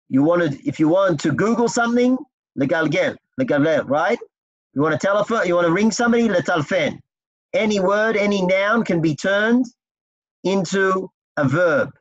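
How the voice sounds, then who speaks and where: Man teaching a text class, dull sound. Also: high-pitched. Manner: explains step by step, quizzing the class. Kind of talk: text study lesson. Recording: dull lo-fi recording.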